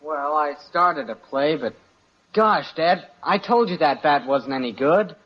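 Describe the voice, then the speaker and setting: An actor playing a whiny, defensive adolescent son in an old-time radio comedy. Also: male voice, on the high side, expressive, unhurried. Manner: defensive, grumbling. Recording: dull old radio recording.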